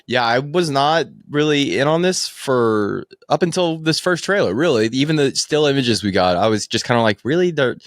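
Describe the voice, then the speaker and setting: A man's voice: medium pitch, lively intonation, moderate pace, hardly any pauses, no echo, studio quality. A man talking casually and bluntly as a movie podcast panelist, in an informal conversational style. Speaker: movie podcast co-host or guest. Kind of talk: casual movie-review chat.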